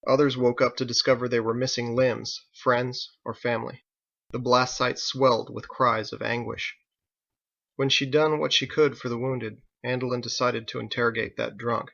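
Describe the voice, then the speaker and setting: Gravelly, bright-voiced man narrating fiction. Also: mid-range voice, flat delivery, normal speed, muffled recording. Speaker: author reading own novel. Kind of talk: dramatic audiobook narration.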